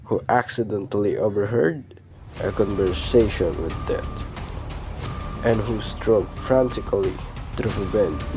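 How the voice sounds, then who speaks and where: A young man reading a scripted introduction to a radio play as its narrator. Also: on the low side. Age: young adult.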